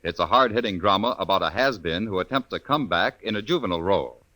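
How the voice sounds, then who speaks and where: Bright, animated vintage American radio announcer. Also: male voice, low voice, fast, dry recording. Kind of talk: 1950s network radio announcing, scripted promo.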